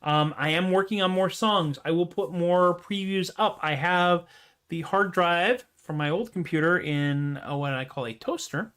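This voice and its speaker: A man hosting his own music livestream, chatting casually with self-deprecating jokes and trailing off into quiet asides. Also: high-pitched, a little slow, smooth. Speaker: host and singer-songwriter. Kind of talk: casual livestream chat after a song.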